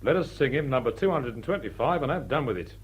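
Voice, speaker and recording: An actor playing a rough-edged, impatient crook in a period radio drama, husky and plain-spoken. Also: male voice, mid-range voice, animated, quick pace, lo-fi sound. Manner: blunt, plain-spoken, grumbling. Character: blunt, rough-edged working-class English crook, impatient.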